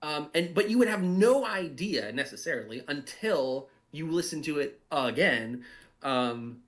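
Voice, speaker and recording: A man's voice: fairly high pitch, expressive, measured pace. A man giving casual commentary as a writer, thoughtfully searching for his words. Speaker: audio drama writer giving cast commentary. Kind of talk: casual chat, production commentary.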